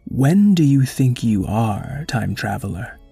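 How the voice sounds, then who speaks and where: A man voicing a weary, wise old male triceratops in a children's story, speaking slowly and low over faint music. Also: very animated, vocal fry, mellow, slightly rough, heavily acted. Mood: grave. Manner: slow, low, grave.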